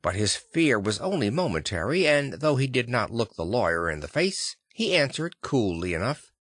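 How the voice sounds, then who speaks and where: A man reading literary fiction as an audiobook narrator, with a measured, deliberate storytelling delivery. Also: medium pitch, big pitch swings, fairly bright tone, no echo, studio quality.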